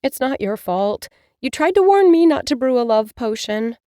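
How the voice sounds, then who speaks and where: A woman voicing an upset young witch, high and emotional. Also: very animated, normal speed, mellow, no echo, studio quality.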